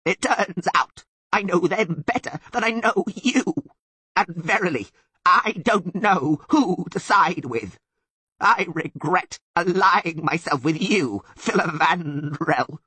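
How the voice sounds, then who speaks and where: A man voicing an indignant, abusive goat-like sylvan devil in an audiobook, with a raspy bleat and emphatic, scolding delivery. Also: sits high, slow pace, pushed and bright, dry recording, voice pushed up, full character voice. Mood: bitter regret. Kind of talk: audiobook character voice.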